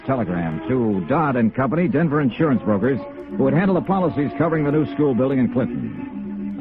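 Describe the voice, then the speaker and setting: An American man acting a tough, persistent insurance investigator in a 1950s radio drama, with a clipped, insistent delivery. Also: mid-range voice. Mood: brisk, businesslike. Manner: clipped, insistent, hard-boiled. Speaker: actor in the lead, also narrating. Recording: tinny, noisy old radio recording.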